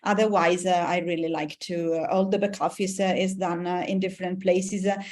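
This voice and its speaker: A woman's voice: lowish voice, level tone, fairly quick, darkish tone. Italian lady chatting as an interview guest, lots of uh and um, laughs a lot. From Italy. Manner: hesitant, many "uh" fillers, laughs often. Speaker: guest, business coach. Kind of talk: casual interview chat.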